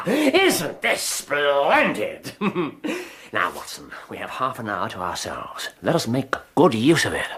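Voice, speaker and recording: An actor playing a brisk, commanding English detective in a radio drama, incisive and theatrical. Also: male voice, a little high, wildly swooping pitch, sharp tone, slightly rough, heavily acted. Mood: gleeful. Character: brisk, commanding, keen-minded male detective.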